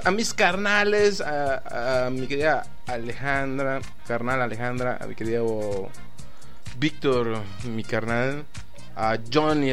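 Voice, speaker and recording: A Mexican man hosting a live online radio show, rambling through shout-outs and jokes in a chatty, loose way. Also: mid-range voice. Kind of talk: casual live radio chat with greetings. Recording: faint music in the background.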